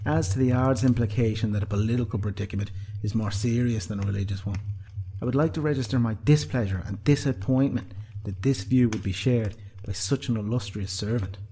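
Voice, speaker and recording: A man's voice: mid-range voice, slow pace, hardly any pauses, dark tone, slight background noise. A man voicing a solemn, scornful male elder of an ancient sect, pompous and admonishing, in an audiobook story. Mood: solemn, disapproving.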